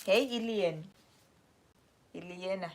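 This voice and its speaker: A woman's voice: medium pitch, slow, a little mellow, poor audio. A young woman with a South African accent, chatting casually on a livestream and answering viewer questions in a rambling, self-correcting way. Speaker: livestream host.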